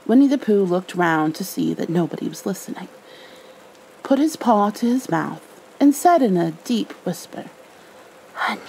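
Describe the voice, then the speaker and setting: A woman narrating a storybook in a gentle ASMR style, expressive and unhurried. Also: mid-range voice, gravelly, rough recording. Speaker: ASMR roleplayer reading a storybook. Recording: faint background noise.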